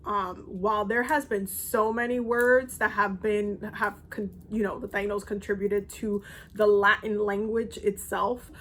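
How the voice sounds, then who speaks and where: Young woman, casual vlog talk, rambling with fillers, mid-pitched voice. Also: level tone, unhurried, fairly bright tone. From Dominican Republic. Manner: loose and chatty, many ums and restarts. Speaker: spiritual content creator.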